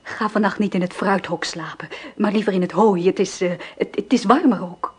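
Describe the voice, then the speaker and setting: A jittery young mother in a radio play, voiced by a woman, stumbling over her words and rushing past awkward questions. Her voice is breathy and slightly husky, at an ordinary middle pitch. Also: lightly acted. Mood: nervous. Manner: stammering, hurried, evasive. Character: nervous young mother Sylvie, evasive and jumpy.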